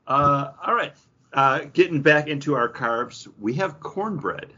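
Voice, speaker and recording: A man's voice: on the high side, expressive, a little slow, bright, forceful tone. A man hosting a podcast in a casual, conversational style. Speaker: podcast host. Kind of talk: casual chat, ranking foods. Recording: dull, muffled audio.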